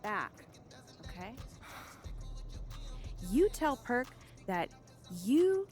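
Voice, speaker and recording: An actress playing a pushy, confrontational publicist who pushes hard and laughs at the others. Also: female voice, on the high side, very animated, drawn-out words, darkish tone, faint music behind, exaggerated voice. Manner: assertive, sardonic, laughs often.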